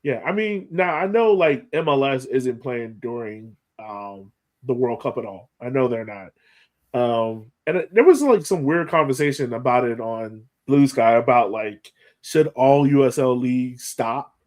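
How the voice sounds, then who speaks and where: A man hosting a sports podcast in casual chat, animated and often repeating phrases for emphasis. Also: mid-range voice, unhurried. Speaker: podcast host.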